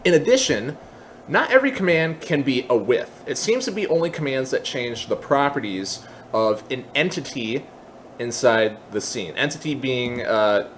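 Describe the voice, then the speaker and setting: A man with an average-pitched voice hosts a screen-share tutorial. He explains things informally, piece by piece, often thinking aloud. A noticeable bed of background noise sits under the recording. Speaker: tutorial host. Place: indoors at a computer, voice-over for screen capture.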